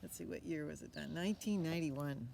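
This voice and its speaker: An older woman with a slightly low voice shares a picture book. She chats expressively between passages and puts questions to her listeners. The recording is outdoors, with some noise behind her. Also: a little fast, gravelly. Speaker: storyteller reading aloud. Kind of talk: picture-book read-aloud with casual asides to children. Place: outdoors on a forest floor. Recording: outdoor ambience with noticeable background noise.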